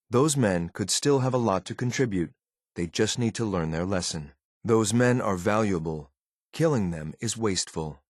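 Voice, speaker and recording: A deep-voiced male android soldier from a video game, cold and disciplined. He speaks in clipped, formal phrases with curt authority. The recording is dry and close, with no room around the voice. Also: no room sound. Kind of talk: scripted video game voice lines.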